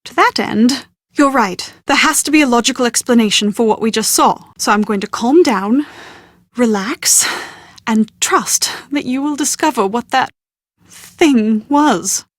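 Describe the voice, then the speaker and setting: A woman's voice: fairly high pitch, measured pace, no room sound, studio quality. A woman playing an anxious, well-bred young British bride-to-be in a video game, speaking in an articulate, earnest and slightly dramatic way. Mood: composed, resolute. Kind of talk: scripted video game voice acting.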